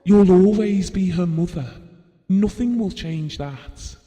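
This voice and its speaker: A gentle bereaved father tells his story in an intimate audio drama. His voice is slightly high for a man and mellow, with reflective, slow delivery. Long reverb hangs around every word. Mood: reassuring. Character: Bill, grieving, gentle, practical husband and bereaved father. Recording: long reverberant hall sound.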